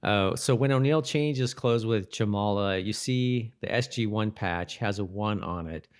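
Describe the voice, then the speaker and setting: A man's voice: fairly low pitch, measured pace, smooth. A man co-hosting a podcast in casual chat, often repeating and restarting his phrases. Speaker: podcast co-host. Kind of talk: casual chat about a TV episode.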